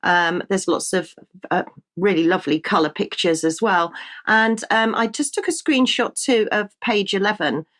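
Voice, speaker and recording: A woman with a British accent presenting a news show, her voice a little croaky, chatting with fillers and restarts. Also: medium pitch, normal speed, fairly bright tone. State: slightly croaky voice. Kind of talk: casual news commentary.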